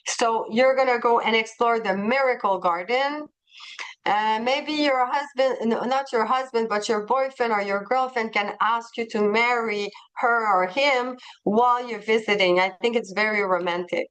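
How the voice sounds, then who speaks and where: Woman w an accent pitching tours on a webinar, kinda high voice, lots of uhs, really excited. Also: normal speed, smooth, poor audio. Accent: non-native English. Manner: enthusiastic, frequent hesitations and restarts. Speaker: tour company presenter. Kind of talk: webinar presentation to travel agents.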